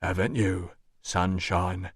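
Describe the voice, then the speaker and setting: A man voicing a burly veteran doorman in an audiobook, gruff, mocking and quietly menacing. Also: low voice, flat delivery, takes it slowly, dry recording, studio quality, voice pushed down, exaggerated voice. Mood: mocking menace. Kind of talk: audiobook character voice.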